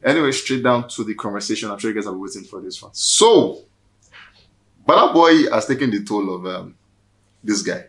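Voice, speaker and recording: A man with a Nigerian accent hosting a casual interview, asking quick conversational questions. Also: lowish voice, lively intonation, fairly bright tone. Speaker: host.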